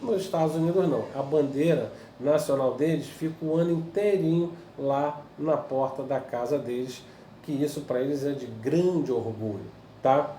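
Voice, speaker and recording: A man's voice: a little high, normal speed, dark tone, distant mic. Brazilian man teaching history in an echoey room. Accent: Brazilian Portuguese. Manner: explaining patiently with frequent "tá?" check-ins and hesitant "é, é" fillers. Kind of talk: recorded video lesson for school pupils. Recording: echoey room, voice a bit off-mic, faint background hiss.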